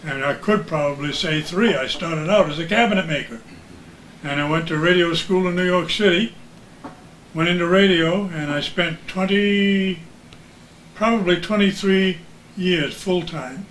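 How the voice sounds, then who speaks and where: An older American man reminisces in casual conversation as a guest. His voice is on the high side. It was recorded in an echoey room with light noise behind it. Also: a little slow, fairly bright tone. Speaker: retired radio announcer as guest.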